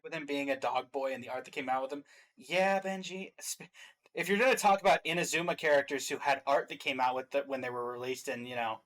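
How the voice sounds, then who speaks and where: A man's voice: mid-range voice, fast talker, fairly bright tone. A man hosting a stream, giving casual reaction commentary.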